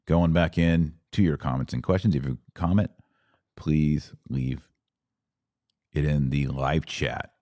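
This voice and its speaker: Very deep-voiced man, emphatic and punchy. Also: steady tone, slow, dark tone, no room sound, clean studio sound. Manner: emphatic, punchy lists of short phrases. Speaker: podcast host. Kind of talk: live-stream commentary answering chat.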